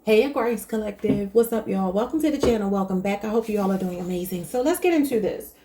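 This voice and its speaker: A woman reads tarot cards and chats straight to her viewers. Her voice is mellow and warm, at a middle pitch. She talks loosely and thinks out loud. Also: animated, fast. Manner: chatty, thinking aloud, addresses viewers as y'all. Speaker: tarot reader. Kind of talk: casual tarot reading to camera.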